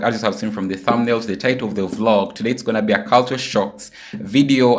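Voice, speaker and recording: A man in his twenties from Uganda, talking casually and directly to viewers in a travel vlog. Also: lowish voice. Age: 20s. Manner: chatty, repeats place names, addresses viewers directly. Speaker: vlogger.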